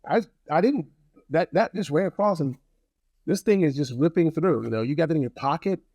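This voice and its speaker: Higher-voiced man giving a casual gadget review. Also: fairly quick, mellow, dry recording. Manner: conversational, rambling, repeats phrases for emphasis; opinionated, blunt. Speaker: product reviewer. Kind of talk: casual product review, talking to viewers.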